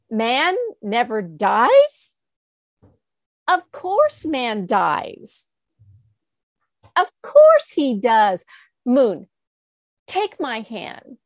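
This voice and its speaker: A woman voicing a curious, kind little male rabbit, speaking earnestly and gently insisting. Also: high voice, no echo, clean studio sound, pitched above the performer's own voice, heavily acted.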